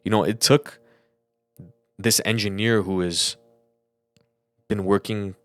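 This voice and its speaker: Young man, low voice, informal sweary podcast chat, close dry recording. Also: fairly even intonation, slow pace, no room sound, faint music behind. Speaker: podcast co-host and audio engineer.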